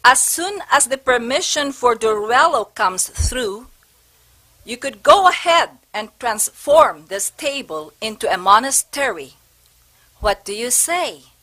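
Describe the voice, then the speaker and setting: Woman playing a solemn, slow-spoken prioress. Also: a little high, sing-song, pushed and bright, heavily acted, poor audio. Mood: instructing. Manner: measured, solemn, courteous. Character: devout, composed, firm prioress, a Spanish nun. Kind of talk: amateur historical stage play acting.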